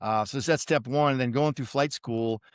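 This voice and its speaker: A man's voice: medium pitch, moderate pace, dry recording, studio quality. A man in his fifties with a Boston accent, a retired Navy pilot telling his story as a podcast guest in an easygoing, self-deprecating way with many fillers. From suburban Boston, USA. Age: 50s. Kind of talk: casual interview storytelling.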